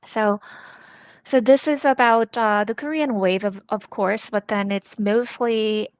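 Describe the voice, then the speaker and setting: Halting woman interpreter, dry sound. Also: fairly high pitch, fairly even intonation, smooth, no echo. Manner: halting, piecing sentences together in short phrases.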